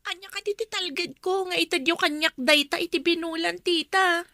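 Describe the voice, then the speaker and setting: A woman's voice: extremely high pitch, moderate pace, bright, forceful tone, dry recording, clean studio sound, exaggerated voice. An actress with an Ilocano accent playing a sweet, dutiful but wary young woman in a radio drama. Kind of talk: Philippine radio drama acting.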